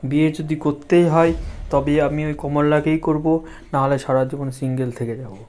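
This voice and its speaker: A man's voice: fairly high pitch, steady tone, moderate pace, a little mellow, faint music behind, close to an everyday voice. A man playing an earnest, firm-willed young landlord's son in a cartoon story. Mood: determined.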